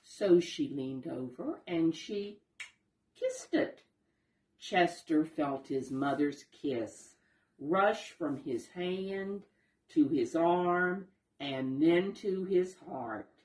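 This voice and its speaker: A woman reading a picture book aloud to young children, explaining the pictures simply and gently as she goes. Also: low voice, lively intonation, extremely slow, far from the mic. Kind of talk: expressive read-aloud storytelling with asides to young listeners. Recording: roomy echo, voice away from mic.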